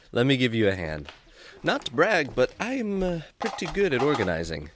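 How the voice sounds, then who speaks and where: A man's voice: medium pitch, huge pitch swings, unhurried, slight background noise. A man playing a friendly, earnest young adventurer in a children's radio drama, speaking like a clean-cut storyteller. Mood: cheerful.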